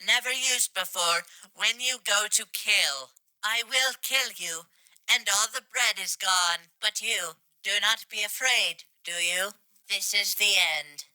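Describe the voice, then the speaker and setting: A woman's voice: mid-range voice, a little slow, sharp tone, clean tone, no echo, poor audio. A woman's voice reading scripted game lines in a stilted, literal way. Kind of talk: flat reading of garbled translated game lines.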